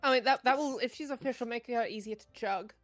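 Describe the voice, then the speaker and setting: A woman guest on a game stream chats in a high voice. Her delivery is casual, joking banter, with soft music playing behind. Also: fast.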